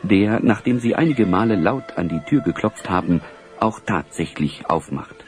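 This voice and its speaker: A man's voice: on the low side, expressive, normal speed, faint music behind. A man narrating an audio drama as a scripted storyteller.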